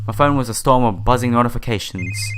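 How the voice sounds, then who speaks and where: A man's voice: medium pitch, brisk, background noise. A man hosting a casual let's-play, reading game text aloud and adding informal asides. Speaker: gaming video host. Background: soft game music.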